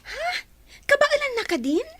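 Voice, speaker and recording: A woman playing a curious, excitable younger sister in a radio drama. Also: very high-pitched, moderate pace, a little hiss or hum, heavily acted. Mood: curious, surprised.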